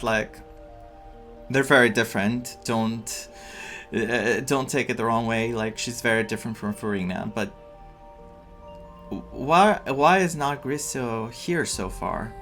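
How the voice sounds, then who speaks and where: A man giving casual playthrough commentary as a game streamer, thinking aloud in a halting, musing way. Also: mid-range voice, a bit bright. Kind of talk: casual playthrough commentary, some reading of dialogue. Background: game music.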